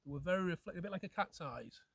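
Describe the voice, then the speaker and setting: A man with a slightly high voice tells local folklore as a storyteller in conversation. He repeats and reworks his phrases as he goes, often seeking agreement. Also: lively intonation, fast talker, creaky, a bit bright, no echo. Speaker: storyteller, host.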